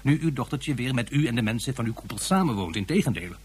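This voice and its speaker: An actor playing a cautious, talkative male professor and government adviser in a radio play, measured and tactful. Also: mid-range voice, expressive, husky. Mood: earnest.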